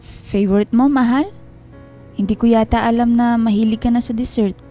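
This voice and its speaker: A woman's voice: on the high side, steady tone, normal speed, mellow, close to an everyday voice. Woman acting homesick, guarded wife, music behind. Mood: guarded, suspicious. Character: worried, homesick wife and mother working abroad, quietly suspicious. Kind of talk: Filipino radio drama acting.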